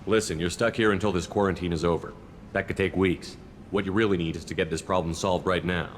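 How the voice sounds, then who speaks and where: A man's voice: deep voice, steady tone, fast, slight background noise, natural voice. An actor playing a blunt male human commander who speaks firmly. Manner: blunt, firm.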